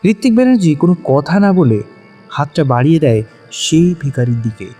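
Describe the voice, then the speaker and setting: A man narrates a story dramatically with lively intonation. His voice is fairly high and mellow, carried in long reverb over music. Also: fairly quick, husky.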